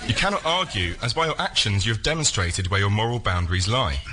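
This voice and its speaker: A man pitching an idea on a comedy show in a deadpan, matter-of-fact manner. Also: fast, noisy background. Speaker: member of the public pitching an idea. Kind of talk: reading a prepared pitch, then answering.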